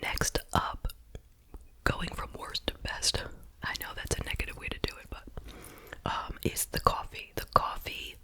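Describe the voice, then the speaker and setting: Whispering woman, breathy ASMR voice. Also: measured pace, bright, forceful tone. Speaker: host reviewing snacks. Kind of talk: whispered ASMR food review.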